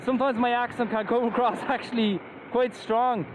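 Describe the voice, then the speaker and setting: A man's voice: very high-pitched, fairly even intonation, moderate pace. Enthusiastic Irish man riding a scooter. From Ireland. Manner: enthusiastic, chatty, whoops while riding. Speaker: scooter reviewer. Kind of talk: casual ride-along vlog commentary. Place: outdoors on a moving scooter by roads. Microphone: wearing a helmet and mask. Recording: outdoor road noise. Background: traffic and wind.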